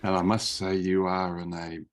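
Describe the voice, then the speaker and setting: A man's voice: deep voice, completely flat delivery, normal speed, slight background noise. A man hosting an interview, asking friendly questions and stumbling over repeated words now and then. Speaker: interviewer host.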